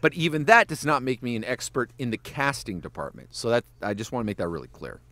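A man's voice: mid-range voice, sing-song, fast talker, bright, forceful tone, no echo. A man, a voice actor and animator, giving casual podcast advice, swearing freely and breaking into voice demonstrations.

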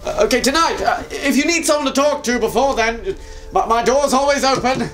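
A man's voice: extremely high pitch, fairly quick, fairly bright tone. A young man in a scripted comedy sketch, talking in a flustered, stammering, fussy way. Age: young adult. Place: small home room, indoors.

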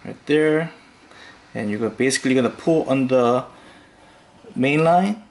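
A man hosting a fishing tutorial, calmly talking through each step with occasional fillers as he ties knots. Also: medium pitch. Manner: slow, relaxed instructional talk with fillers. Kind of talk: step-by-step demonstration voice-over.

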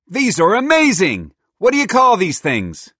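A man voicing an excitable, boastful male squirrel who loves to invent, eager and cocky in a children's storybook. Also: a little high, moderate pace, dry recording, pitched above the performer's own voice. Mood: delighted.

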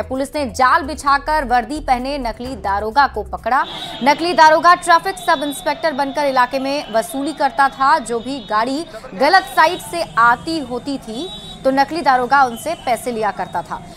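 A woman news anchor reading a bulletin of regional news in a brisk, formal headline style. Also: sits high, a bit bright. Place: television studio.

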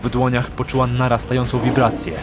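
A man's voice: medium pitch, monotone, a little fast. Male fantasy audiobook narrator over music. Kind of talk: dramatic scripted audiobook narration.

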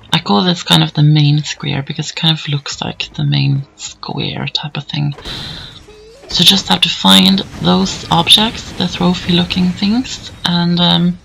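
A hoarse man giving casual, rambling commentary over gameplay, with plenty of fillers. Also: high-pitched, a bit bright, poor audio. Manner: rambling, easygoing, many fillers. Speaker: let's play commentator.

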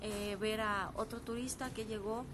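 A woman in her thirties with a Mexican accent talks casually and earnestly into her phone on an outdoor terrace. She is hoarse from a sore throat and dry cough, and she pauses often with fillers. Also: mid-range voice, steady tone, noisy background. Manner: earnest, halting, with frequent fillers. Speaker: actress streaming live. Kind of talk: casual live-stream talk. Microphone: phone at arm's length.

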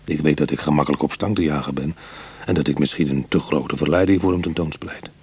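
An actor playing a proud, wry retired burglar in middle age who is easily goaded, in a Dutch radio play. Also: male voice, rumbling low, fast, darkish tone, husky, exaggerated voice. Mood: brooding, wry. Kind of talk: Dutch radio drama acting.

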